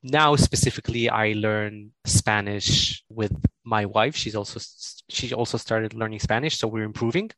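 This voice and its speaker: A middle-aged man with an Israeli accent, Hebrew his first language, answering interview questions in a halting, thoughtful way with frequent laughs over a video call. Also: on the low side, a bit bright, no echo, rough recording. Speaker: guest.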